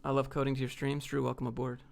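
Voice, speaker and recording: A man's voice: medium pitch, monotone, quick pace, gravelly. A man from the United States hosting a livestream, chatting off the cuff in a blunt, slangy way as he reads out questions and answers them. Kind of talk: casual off-the-cuff Q&A chat, reading questions aloud.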